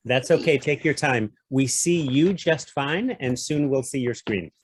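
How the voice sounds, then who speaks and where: A man's voice: mid-range voice, unhurried, breathy edge, dry recording. A man moderating an online workshop, politely keeping time and introducing speakers. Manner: polite, accommodating. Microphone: video call.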